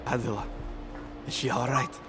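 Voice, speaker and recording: An actor playing a frightened, weary informant in a video game, gravelly and halting, pleading and bitter as he fears for his family. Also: mid-range voice, steady tone, close to an everyday voice. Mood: wary, tense. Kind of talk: dramatic video game acting. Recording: game dialogue under loud music. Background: game music.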